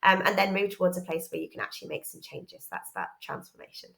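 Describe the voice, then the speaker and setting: A woman's voice: on the low side, sing-song, quick pace, creaky, fairly bright tone. A woman presenting an informal course introduction, explaining things piece by piece with hesitant asides. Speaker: educational psychologist and course presenter.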